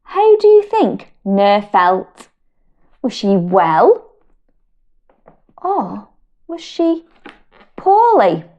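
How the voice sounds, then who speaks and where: A British woman reading a picture book aloud to children in a gentle, expressive way, rising high on her questions. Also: dull audio. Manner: slow, gentle and expressive, with big pitch lifts on questions. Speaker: storyteller and wellbeing educator.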